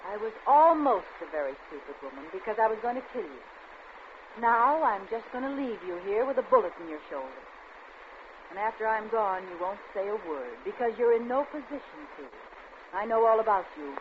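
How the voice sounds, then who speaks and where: Actress as female crook, scheming, seductive, vengeful, 1940s radio suspense acting, dull vintage sound, clear background noise. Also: medium pitch, sing-song, brisk, close to an everyday voice. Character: scheming, vengeful, seductive woman crook narrating her own crime. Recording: dull, noisy old radio recording.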